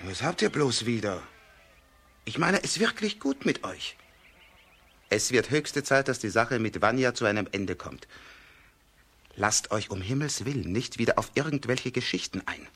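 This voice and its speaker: An actor playing a sly, boastful red-haired busybody who poses as a friend in a children's radio drama, with a wheedling, self-important delivery. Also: male voice, mid-range voice, big pitch swings, normal speed, pauses often, fairly bright tone, husky, heavily acted. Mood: insistent, wheedling. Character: sly red-haired meddling village man, needling false friend.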